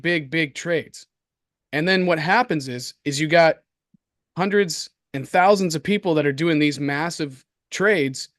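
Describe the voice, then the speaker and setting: A man with a hoarse voice co-hosts a casual market commentary show, talking in an excitable, slangy, repetitive way. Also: fairly high pitch, no echo, clean studio sound. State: hoarse from coughing. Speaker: crypto show co-host.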